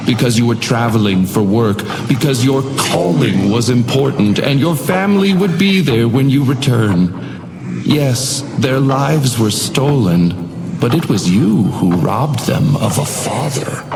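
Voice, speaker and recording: An actor voicing a genteel, sinister hotel doorman in a video game, taunting guests in a measured, theatrical monologue with silky menace. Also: mid-range voice, lively intonation. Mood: accusing. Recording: echoing voice over music. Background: music.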